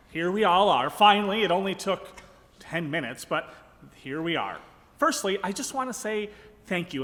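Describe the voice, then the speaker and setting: An actor playing an apologetic, earnest, halting theater director in a live radio comedy. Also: male voice, high voice, fairly bright tone. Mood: earnest, awkward. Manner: halting, placating. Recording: reverberant ballroom stage.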